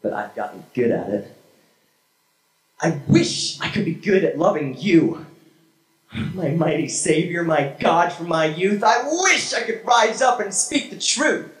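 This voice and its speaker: A young man performing spoken word poetry on a small church stage with a handheld mic, dramatic and swinging from hushed to shouted. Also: fairly high pitch, sing-song, a little slow. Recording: echoey room from a far camera.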